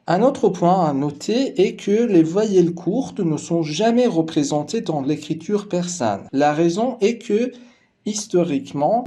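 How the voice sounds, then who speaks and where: A man whose first language is Persian, calmly narrating a scripted lesson as the teacher. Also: fairly high pitch.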